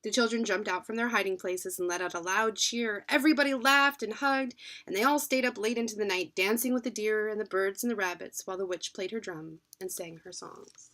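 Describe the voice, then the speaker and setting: Storybook narrator: adult female, medium pitch, expressive read-aloud delivery for children. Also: a little fast, hardly any pauses. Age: adult, parent. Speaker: children's book reader.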